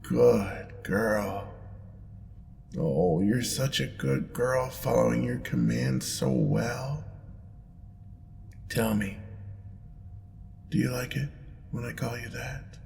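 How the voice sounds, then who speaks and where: Man playing a slow, gravelly, teasing demon lord. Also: darkish tone. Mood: teasing, seductive. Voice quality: deep, gravelly. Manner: playful, purring, drawn-out teasing. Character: teasing, smug, indulgent male demon lord. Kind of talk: audio roleplay acting. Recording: long reverb, clear background noise.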